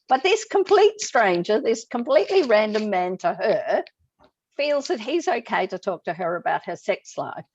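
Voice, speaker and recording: Middle-aged woman, host, gravelly voice, reflective conversational delivery, slightly muffled recording. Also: mid-range voice. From Australia. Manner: reflective, asks guest questions. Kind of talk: conversational discussion. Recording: slightly dull lo-fi audio.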